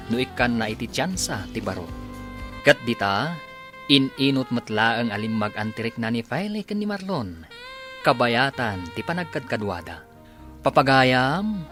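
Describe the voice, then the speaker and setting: Animated Ilocano male radio announcer over music. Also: medium pitch. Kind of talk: dramatic scripted radio narration. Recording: music bed under the voice.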